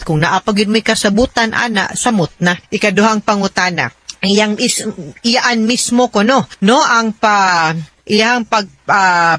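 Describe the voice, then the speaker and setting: A woman's voice: medium pitch, fairly bright tone, no room sound. Chatty Cebuano Filipino woman, dry close sound. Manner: chatty, mixes in English phrases, checks with tag questions. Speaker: radio legal advice host, lawyer. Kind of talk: conversational radio advice, explaining.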